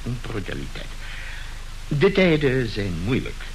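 Middle-aged businessman father, self-important, impatient, officious, lively intonation, gravelly fry, radio play, slightly noisy old recording. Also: mid-range voice, unhurried, full character voice. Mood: pompous. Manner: pompous, officious, speaks like chairing a meeting. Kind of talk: Dutch radio play acting.

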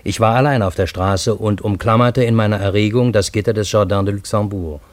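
An actor playing a Parisian man who narrates his own strange adventure in a radio drama, in measured literary storytelling. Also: on the low side, steady tone, dry recording, lightly acted. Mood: awed, suspenseful. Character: male Parisian narrator, astonished friend of time traveller.

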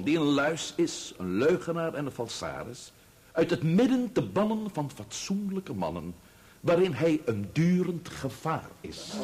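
An actor playing a formal, grave and indignant barrister in a radio drama, speaking in pompous, oratorical courtroom diction. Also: male voice, fairly high pitch, a little mellow, slightly rough, exaggerated voice.

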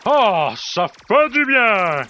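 High-pitched, fierce giant alien colonel. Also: male voice, very animated, extremely slow, background noise, heavily acted. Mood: savage satisfaction. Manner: shouting, commanding.

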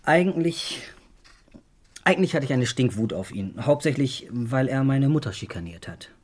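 An actor playing a defiant young law student in a German radio crime drama, speaking in a blunt, slangy, halting way. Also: male voice, medium pitch, expressive, darkish tone, slightly rough. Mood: sullen, frank. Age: young adult. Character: defiant, blunt 21-year-old male law student son.

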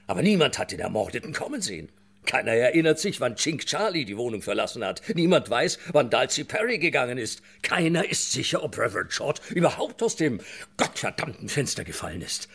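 An actor playing a gruff, sarcastic homicide sergeant who presses witnesses in a radio drama. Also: male voice, medium pitch, big pitch swings, a little fast, a bit bright, full character voice. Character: gruff middle-aged male homicide sergeant, impatient. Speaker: police detective sergeant. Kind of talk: German radio play acting.

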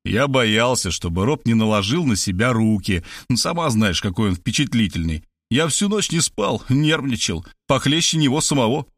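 A man's voice: mid-range voice, animated, normal speed, hardly any pauses, fairly bright tone, dry recording, studio quality. A man voicing a blunt, hearty male friend from a novel, emphatic and familiar. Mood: booming defence.

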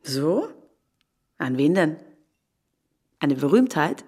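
Actress as husky, sardonic bank manager. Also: female voice, deep voice, sing-song, takes it slowly, mellow, exaggerated voice. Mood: teasing. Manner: ironic, testy, bantering. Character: sardonic, joking married female bank branch manager, stranded. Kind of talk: naturalistic German audio drama dialogue.

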